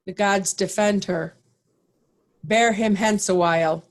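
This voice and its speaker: A woman's voice: mid-range voice, steady tone, slow, close to an everyday voice. A woman playing a grave, dignified noble duke in a Shakespearean play reading. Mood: grave, commanding.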